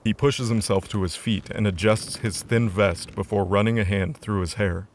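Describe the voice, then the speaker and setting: A man's voice: on the low side, steady tone, moderate pace, a little hiss or hum. A man narrating a scripted audio drama as its storyteller.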